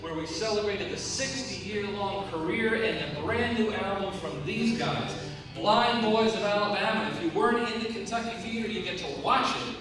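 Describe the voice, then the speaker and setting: A man's voice: sits high, moderate pace. A man hosting a show, delivering a promotional announcement from a theater stage. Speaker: show host. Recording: echoey hall, distant, music behind.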